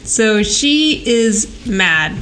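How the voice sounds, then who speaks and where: A woman with a mid-pitched voice talks casually as a podcast co-host, chatty and easygoing in her phrasing. She sounds like she is recapping a TV show in conversation, with noticeable noise on the recording. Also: very animated, drawn-out words, fairly bright tone.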